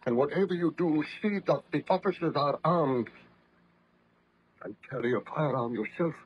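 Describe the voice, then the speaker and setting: 1930s radio play: male actor as tormented baron, fervent, remorseful, drawn-out phrasing, slightly noisy recording. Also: a little high, highs cut off, lightly acted. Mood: urgent. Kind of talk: 1930s radio drama acting.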